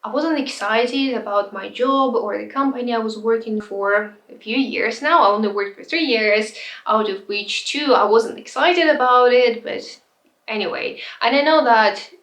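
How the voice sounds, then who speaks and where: A woman's voice: a little high, normal speed, a bit bright. A woman in her twenties telling her own story on a vlog, frank and reflective, at times hesitant. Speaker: vlogger. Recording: roomy echo, distant from mic.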